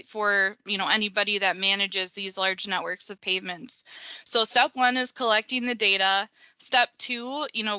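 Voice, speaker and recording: A woman in her thirties with a Minnesota accent talks through a webinar over a video call. Her voice is very bright and crisp with a dry, close sound. She speaks in an even, flat tone, full of ums and restarts. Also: mid-range voice, no echo. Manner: conversational, many fillers and restarts. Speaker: pavement engineer presenter. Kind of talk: webinar presentation.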